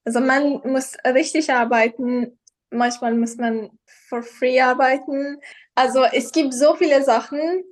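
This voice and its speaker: A young woman from Iran, speaking German she learned later, telling her story casually as an interview guest and often pausing to search for words. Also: high voice, fairly even intonation. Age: young adult. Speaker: guest, professional model.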